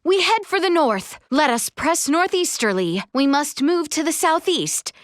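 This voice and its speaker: A woman's voice: high voice, animated, a little slow, dry recording, clean studio sound. A woman playing a refined, proud and eager young noblewoman in a video game, with formal, theatrical, old-fashioned diction. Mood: brisk. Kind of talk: video game character voice lines.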